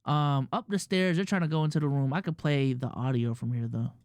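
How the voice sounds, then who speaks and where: A man's voice: medium pitch, brisk, a little mellow. Casual streamer guy with a Filipino accent. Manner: loose slangy talk with many restarts. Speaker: streamer and podcast host. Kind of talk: casual commentary to a live chat.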